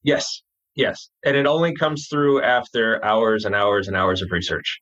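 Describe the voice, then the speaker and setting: A man with a New Jersey accent, a copywriter speaking as a guest in a casual interview, relaxed and chatty, dropping his g's. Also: fairly low pitch, unhurried, lo-fi sound.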